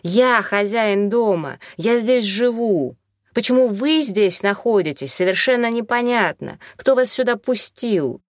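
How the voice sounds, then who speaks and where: A woman's voice: sits low, expressive, moderate pace, no echo, studio quality. A woman voicing an exasperated male homeowner who protests insistently while trying to stay civil in a story reading. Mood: loud exasperation.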